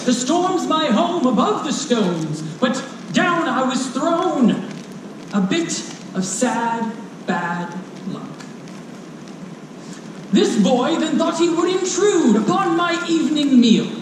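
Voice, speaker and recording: A storyteller voicing a weary, proud male dragon, a gentle giant who grumbles in a slow, haughty way. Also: high-pitched, sing-song, voice pushed up, heavily acted. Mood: weary, indignant. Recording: echoey, distant live recording with noise.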